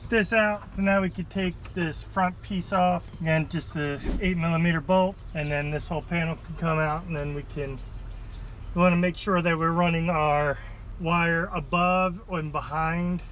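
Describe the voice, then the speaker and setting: A man's voice: a little high, steady tone, normal speed, a bit bright. A man giving a casual, unscripted hands-on installation walkthrough, thinking aloud informally as he works. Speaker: vehicle installer presenting a how-to video. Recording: noisy, with clear background vehicle noise.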